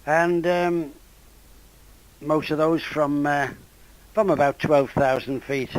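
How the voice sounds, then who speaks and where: Male, 80s or older, unhurried radio amateur, higher voice failing from a cold, hesitant delivery. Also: steady tone, no room sound, rough recording. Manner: hesitant, halting. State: voice going from a cold. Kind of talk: casual ham radio contact. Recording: ham radio contact over internet app.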